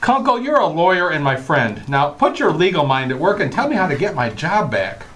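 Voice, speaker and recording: Man playing a flustered, animated radio-comedy dad. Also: a little high, brisk, a little hiss or hum. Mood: aggrieved. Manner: hesitant, stammering, exasperated. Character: flustered, exasperated family father, eager to impress. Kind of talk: old-time radio comedy acting.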